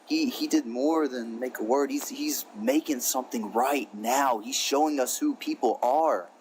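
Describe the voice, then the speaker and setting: Male caller, mid pitch, rambling, apologetic. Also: a little slow, background noise. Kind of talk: live debate call-in.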